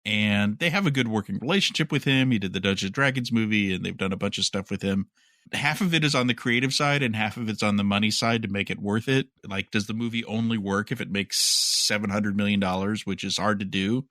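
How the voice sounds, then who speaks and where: A man's voice: fairly low pitch, a little fast, pushed and bright, no room sound, studio quality. A man co-hosting a podcast, casually talking through news with a wry, skeptical manner. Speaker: podcast co-host.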